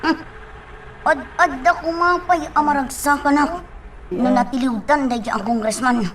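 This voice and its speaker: A woman's voice: sits high, unhurried, fairly bright tone, a little hiss or hum, low-quality recording. A woman playing an indignant, outspoken young villager in a radio drama, speaking with earnest emphasis. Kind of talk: Ilocano radio drama acting.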